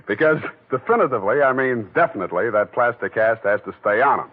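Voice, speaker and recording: An actor playing a wry, hard-boiled American private detective in deadpan 1940s radio style. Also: male voice, medium pitch, a little fast, phone-quality sound, natural voice. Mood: sardonic. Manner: deadpan, sardonic. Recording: old band-limited radio recording, music behind.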